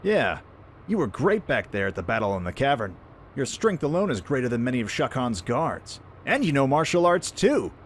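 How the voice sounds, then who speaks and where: Man acting a cocky, lively young pirate prince. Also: medium pitch, normal speed, a little hiss or hum, lightly acted. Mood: teasing, persuasive. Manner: animated, cocky, informal. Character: brash young male pirate prince, friendly and eager. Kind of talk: fan audio drama acting.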